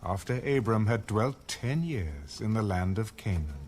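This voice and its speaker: Low, expressive male scripture narrator. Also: noisy background. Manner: measured, storytelling. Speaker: audio Bible narrator.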